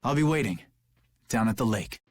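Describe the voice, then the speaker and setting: Earnest, husky young knight. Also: male voice, lowish voice, lively intonation, normal speed, dry recording. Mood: serious. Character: earnest, dutiful young male knight officer. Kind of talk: video game voice acting.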